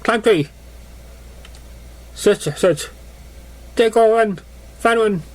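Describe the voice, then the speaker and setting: A man's voice: high voice, drawn-out words, voice pushed up. A man voicing a bubbly, childlike female cartoon pony who chatters simply and repetitively about having fun. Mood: excited, curious.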